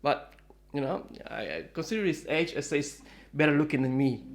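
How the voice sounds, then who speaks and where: A man's voice: on the high side, lively intonation, brisk. Rambling, friendly middle-aged man host. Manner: rambling, friendly, many fillers and restarts. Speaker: guitar dealer and channel host. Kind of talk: casual chat to camera. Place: home in Melbourne, Australia.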